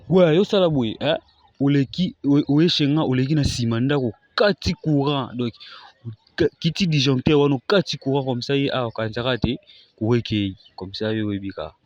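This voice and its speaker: A man's voice: medium pitch, normal speed, a little mellow, dry recording, highs cut off, natural voice. A man with a Congolese accent playing a chatty, colloquial everyman in a comedy cartoon. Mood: explaining.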